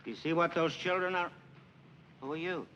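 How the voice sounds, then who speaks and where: An actor playing a gruff, irritable property owner who barks orders and scolds, in a 1940s film. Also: male voice, a little high, moderate pace, fairly bright tone, natural voice. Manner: barking, bossy, scolding. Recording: dull 1940s film soundtrack, faint hiss.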